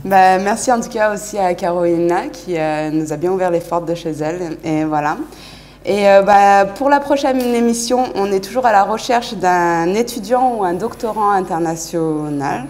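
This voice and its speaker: A young woman presenting a student TV show and interviewing a guest, speaking in a relaxed, friendly way with frequent hesitations. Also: mid-range voice, moderate pace. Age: young adult. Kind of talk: interview and live studio presentation.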